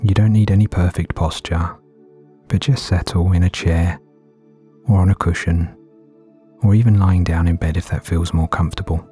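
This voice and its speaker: Deep, mellow male meditation guide. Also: steady tone. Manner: gentle, soothing, unhurried with long pauses. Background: background music.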